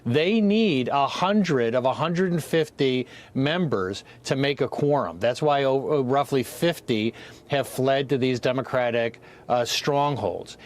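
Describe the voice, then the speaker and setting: A man giving measured political analysis as a news commentator, explaining step by step. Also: mid-range voice.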